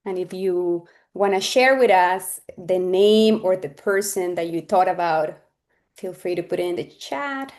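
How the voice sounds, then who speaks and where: A woman's voice: fairly low pitch, unhurried, a little mellow. A woman in her thirties from Colombia, presenting on a webinar over a video call in an earnest, loose way, with fillers and restarts. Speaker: webinar speaker. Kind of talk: presenting on a webinar, casual and personal.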